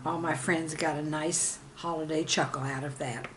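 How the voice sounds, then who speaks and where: A woman reading scripted stories and verse as a channel host, with a measured, deliberate delivery. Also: low-pitched, steady tone, a bit bright, a little hiss or hum. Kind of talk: scripted storytelling and poem reading.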